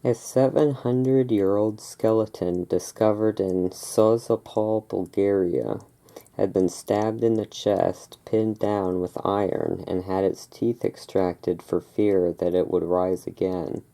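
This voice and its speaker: A host reading aloud in a relaxed ASMR style, with casual, musing asides. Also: deep voice, slow, creaky, poor audio. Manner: rambling, musing asides between read passages.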